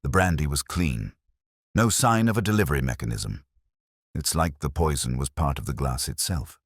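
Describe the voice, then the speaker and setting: Man acting police inspector, businesslike, impatient, very deep male voice, matter-of-fact reports, dry sound, detective storybook. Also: lively intonation, moderate pace, husky, no room sound, full character voice. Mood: businesslike, puzzled.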